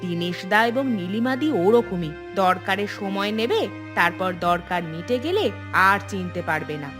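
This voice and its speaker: A woman's voice: mid-range voice, big pitch swings, moderate pace, music in the background, natural voice. A woman voicing a gossipy village housewife in a cartoon, complaining as she recounts old grievances. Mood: resentful, gossipy. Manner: complaining, storytelling. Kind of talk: cartoon dialogue.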